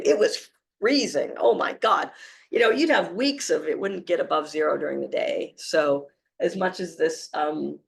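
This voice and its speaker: A hoarse woman in her forties from the Boston area, speaking with a Boston accent, giving an animated, story-filled talk with many fillers. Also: low-pitched. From Boston area, Massachusetts. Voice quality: gravelly, creaky. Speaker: wildlife conservation speaker. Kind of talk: public talk with slides.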